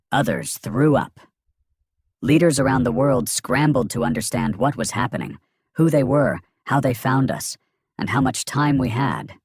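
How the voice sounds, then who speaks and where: A man's voice: medium pitch, gravelly, slightly rough, no echo, clean studio sound. A man narrating a dramatic science fiction story in a grave, ominous manner with short, emphatic phrases.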